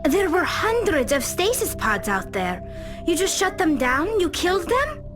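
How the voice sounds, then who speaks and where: An outspoken female squadmate speaks very high, with big swings in pitch. She comes across as morally indignant, in video game drama with music under the voice. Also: very animated, unhurried, full character voice. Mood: shocked, indignant. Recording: music behind the voice.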